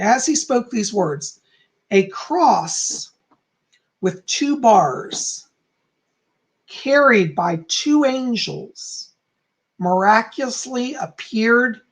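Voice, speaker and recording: A calm, soft-spoken man who is hoarse and losing his voice, teaching on a livestream and reading aloud from a book. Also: high voice, slow, low-quality recording. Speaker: psychic teaching about folk magic.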